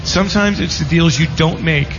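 A man's voice: a little high, moderate pace, vocal fry. A middle-aged man giving opinionated sports commentary in casual, short phrases. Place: live radio broadcast at a casino. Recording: dull lo-fi live pickup, faint music.

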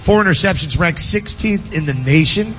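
A man's voice: on the high side, lively intonation, a bit bright, faint music behind. A man hosting a live sports radio show, joking with his co-hosts and reading sponsor copy. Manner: jokey, reads sponsor copy briskly. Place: radio broadcast set at a casino. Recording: slightly dull radio studio audio, faint noise.

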